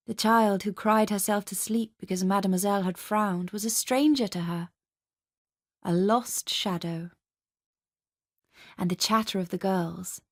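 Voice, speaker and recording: A woman reading literary fiction for radio as scripted dramatic narration, expressive and intimate in her storytelling. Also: mid-range voice, dry recording, studio quality. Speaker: short story reader.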